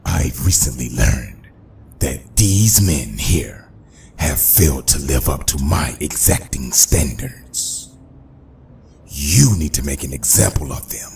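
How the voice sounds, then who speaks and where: An actor playing a suave, menacing middle-aged crime boss in a motion comic, speaking pompously and deliberately in a gravelly voice. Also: male voice, extremely low pitch, lively intonation, darkish tone, slight background noise, exaggerated voice. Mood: coldly commanding.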